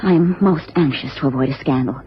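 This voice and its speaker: A woman's voice: extremely low pitch, quick pace. A woman playing an anxious, genteel American wife in a radio drama, speaking formally and earnestly with careful phrasing. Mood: polite, anxious. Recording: dull, noisy old radio recording.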